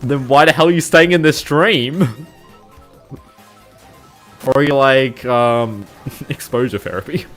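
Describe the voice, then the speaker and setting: A man's voice: mid-range voice, slow pace, pauses often, a bit bright. Young Australian male streamer, rambling and chuckly, mid-range voice, faint music underneath. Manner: rambling, chuckles often, lots of fillers. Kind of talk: casual chat with livestream viewers.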